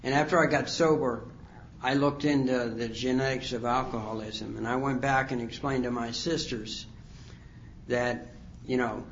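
Older man giving a halting personal testimony. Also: medium pitch, fairly even intonation, dull audio, a little hiss or hum. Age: 60s. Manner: rambling, halting, many fillers. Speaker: speaker sharing his recovery story. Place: conference hall.

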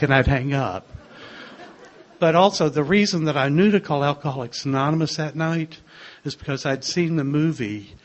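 An older man with a soft voice, sharing his own story in a gentle, wry way. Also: fairly high pitch. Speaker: speaker sharing a recovery story. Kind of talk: personal testimony to a group.

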